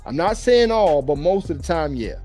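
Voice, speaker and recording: Slightly hoarse man with a mellow voice. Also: on the high side, sing-song, normal speed, soft background music. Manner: loose, rambling, conversational. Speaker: dating commentary vlogger. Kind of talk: casual opinionated commentary.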